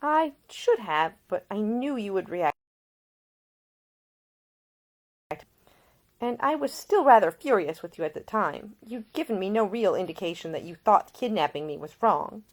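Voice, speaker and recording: A woman's voice: medium pitch, lively intonation, normal speed, hardly any pauses, voice pushed up, close to an everyday voice. Reader as a determined, caring independent woman. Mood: explanatory. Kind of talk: audiobook character dialogue.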